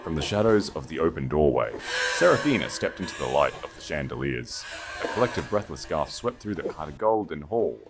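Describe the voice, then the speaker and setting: A man's voice: a bass voice, very animated, a little fast, over loud music. A man reading dramatic scripted fantasy fiction as an audiobook narrator.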